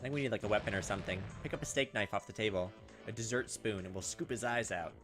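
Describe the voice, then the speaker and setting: A man's voice: fairly low pitch, fast. Theatrical man reading a game aloud over music. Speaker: gameplay host reading a visual novel aloud. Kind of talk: dramatic read-aloud narration mixed with joking commentary.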